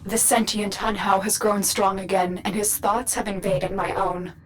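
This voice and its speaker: A woman voicing a grave, protective mission guide in a video game, solemn, measured and earnest. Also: mid-range voice, little pitch movement, a bit bright, lightly acted, poor audio. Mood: grave urgency. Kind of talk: scripted video game dialogue. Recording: voice over clear background music.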